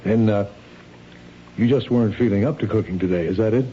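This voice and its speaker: An actor playing a patient, protective husband in an old radio drama, gentle and a little hesitant. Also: male voice, fairly low pitch, brisk, close to an everyday voice. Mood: gentle, careful. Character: patient, protective, plain-spoken husband of troubled wife. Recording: dull, noisy old radio recording.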